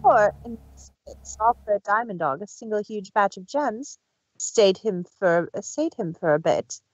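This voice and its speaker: Man putting on high theatrical female cartoon pony. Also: a little mellow, no room sound, lo-fi sound. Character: refined, theatrical female cartoon ponies, smug schemers and show-offs.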